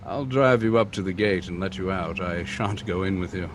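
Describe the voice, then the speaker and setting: Man as gentleman sleuth, old-time radio style, composed, refined, low pitch, faint car noise behind. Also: normal speed, close to an everyday voice. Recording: noisy vehicle ambience behind.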